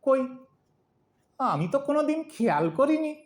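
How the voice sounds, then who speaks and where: A woman's voice: sing-song, moderate pace. A woman voicing an aggrieved husband in his eighties, thin-voiced, plaintive and theatrical, in a comic audio drama. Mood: jealous. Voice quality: thin, squeaky. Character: aggrieved, melodramatic 82-year-old lovelorn husband.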